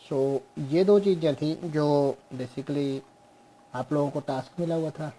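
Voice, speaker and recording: A young man gives a coding lesson from his desk, going through each step at a very slow, patient pace. His voice sits a little high but sounds soft and mellow. A faint hiss of background noise stays under his speech. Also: rough recording. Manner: slow, explains step by step, mixes Hindi and English. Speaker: programming teacher. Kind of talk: screen-share coding lesson.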